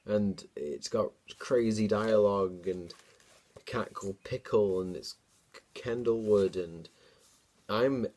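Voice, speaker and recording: A man's voice: on the low side, slow, warm tone. A man hosting a dramatic story reading, mixed with amused, informal comic commentary. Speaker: host reading his own childhood story.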